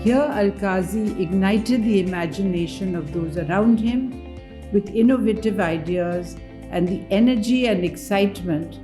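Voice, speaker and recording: A woman reading a memoir aloud as a dramatic literary reading, with measured, deliberate phrasing. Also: lowish voice. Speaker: reader at a book event.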